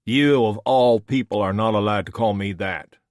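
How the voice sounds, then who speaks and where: A man playing a blunt, deadpan male killer. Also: on the low side, moderate pace, no echo, studio quality, lightly acted. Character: blunt, weary male killer, dry humor.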